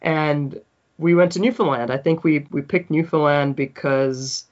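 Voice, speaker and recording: A man's voice: on the high side, unhurried, highs cut off. A man in his thirties, originally from South Africa, telling stories as a podcast guest, laughing often and acting out the people he quotes. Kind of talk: casual storytelling.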